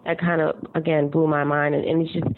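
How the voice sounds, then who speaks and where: A woman leaving a casual, rambling fan voicemail, hesitant with many ums, raspy from a cold. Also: deep voice, level tone, background noise. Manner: hesitant, frequent ums and pauses. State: raspy from getting over a cold. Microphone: phone. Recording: muffled phone voicemail, narrow band.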